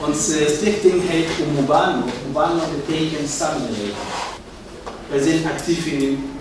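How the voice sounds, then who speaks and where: A man speaking Dutch as a second language, a foundation representative giving a short speech, mixing in English words and pausing often. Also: on the high side. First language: not Dutch. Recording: echoey hall from far off, noisy.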